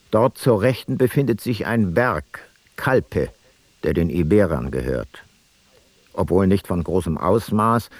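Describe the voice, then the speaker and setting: A man's voice: deep voice, dry recording. An actor playing an ancient Greek seafarer, a sober commander reading his logbook tersely in a radio play. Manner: terse, matter-of-fact.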